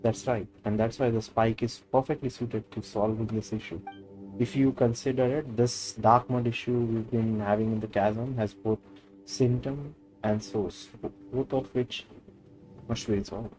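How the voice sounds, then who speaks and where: A man's voice: medium pitch, steady tone, unhurried, darkish tone, faint music behind, rough recording. A man with an Indian accent live-streaming a game, switching between English and Hindi as he reads story dialogue aloud and comments.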